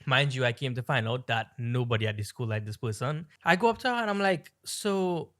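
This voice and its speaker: A man with a Trinidadian-tinged American accent tells a long story on a podcast. He rambles and stays animated, leaning on fillers as he goes, and his voice sits at an ordinary middle pitch. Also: fairly quick. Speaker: podcast co-host. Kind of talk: casual storytelling chat.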